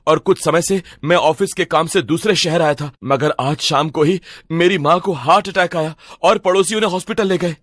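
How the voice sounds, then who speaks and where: A man voicing a worried young ex-boyfriend in an animated story, urgent, pleading and a little hesitant. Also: on the high side, moderate pace, hardly any pauses, no room sound, studio quality. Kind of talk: dramatic cartoon story voice acting.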